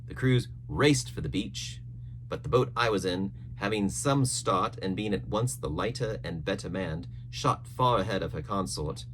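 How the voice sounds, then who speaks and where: A man reading an adventure novel aloud as scripted, expressive storybook narration. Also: sits low, moderate pace, a little hiss or hum, poor audio. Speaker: audiobook narrator.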